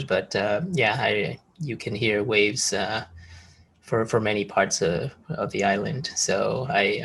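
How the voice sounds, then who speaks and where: A man's voice: on the low side, gravelly, fairly bright tone, husky. A man hosting a founder interview podcast, eager and upbeat as he asks questions. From Malaysia. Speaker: podcast host.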